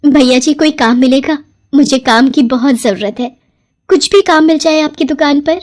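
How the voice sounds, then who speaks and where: A woman performs a poor young village mother for a cartoon story. The voice is very high and humble, with a polite, pleading way of speaking. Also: normal speed, darkish tone, lightly acted. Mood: polite, earnest.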